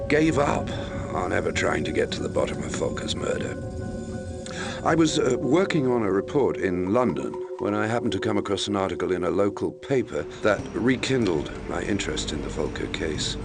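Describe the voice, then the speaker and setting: Casting: male investigative reporter recounting a case in a video game, low register, measured, hesitant delivery, music bed behind. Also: gravelly, exaggerated voice. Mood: reflective, hesitant. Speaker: narrator, investigative journalist character. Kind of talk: documentary-style first-person game narration.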